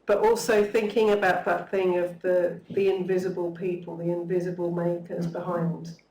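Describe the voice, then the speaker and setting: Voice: medium pitch, flat delivery, moderate pace, a little mellow. A panel speaker responding eagerly and thoughtfully, with some fillers. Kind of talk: panel discussion. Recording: roomy far-off mic with faint room noise.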